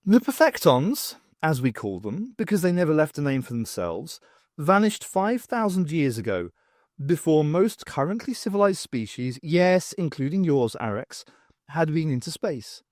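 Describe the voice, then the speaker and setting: A man reading a first-person story aloud as an expressive audiobook narrator, with wry, dramatic, emphatic phrasing. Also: fairly high pitch, moderate pace, no echo, studio quality. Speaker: audiobook reader.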